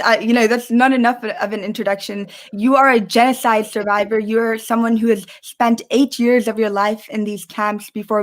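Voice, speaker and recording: Woman host, mid pitch, earnest and measured, dry close sound. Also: dry recording. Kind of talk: hosting a web talk show.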